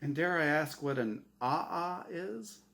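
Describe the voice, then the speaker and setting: A man's voice: fairly high pitch, lively intonation, unhurried, vocal fry, fairly bright tone, voice pushed up, heavily acted. A man voicing an anxious, bewildered dead man in a story reading, hesitant and questioning. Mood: nervous, puzzled.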